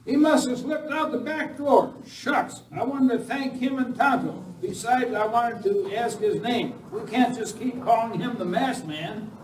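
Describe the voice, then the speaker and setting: Old West townsfolk role played by a man: extremely high male voice, folksy, earnest, echoey live radio-play recording. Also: measured pace. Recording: echoey room, distant mic, faint noise.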